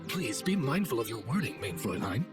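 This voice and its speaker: A man's voice: mid-range voice, very animated, measured pace, music in the background. A man voicing a dignified, dutiful familiar in a video game, formal, deferential and stately like a butler. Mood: reproving. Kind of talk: video game cutscene acting.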